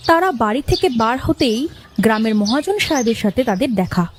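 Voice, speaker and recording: A woman's voice: on the high side, sing-song, fairly quick, a little hiss or hum. A woman giving scripted narration of a ghost story as its narrator.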